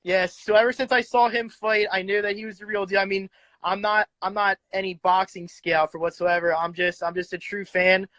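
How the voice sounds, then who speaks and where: A man hosting a casual boxing interview, chatty and quick to laugh. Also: high-pitched, steady tone, pushed and bright, no room sound. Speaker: interview host, boxing fan.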